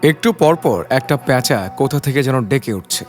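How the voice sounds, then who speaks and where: A man's voice: medium pitch, a little fast, music in the background. A man narrating a scripted detective story in a dramatic, suspenseful storytelling style.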